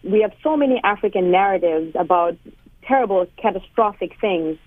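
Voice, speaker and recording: A woman in her thirties from Malawi, a guest author telling her story in a radio interview with lively, laughing energy. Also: medium pitch, brisk, no echo. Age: 30s. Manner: animated storyteller, laughs often. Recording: tinny phone line.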